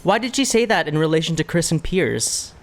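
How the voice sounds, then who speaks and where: A streamer giving casual, excitable live gaming commentary, chatting to viewers and often repeating words and phrases. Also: mid-range voice, a little fast, slight background noise. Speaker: streamer playing a game for a live chat.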